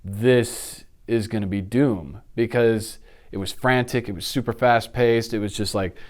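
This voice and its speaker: A man in his thirties chatting casually as an interview guest, telling stories in an animated way and acting out reactions. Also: fairly low pitch, a little slow. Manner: animated storytelling, acts out reactions and sound effects. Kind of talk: casual chat about films and games. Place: indoor office studio.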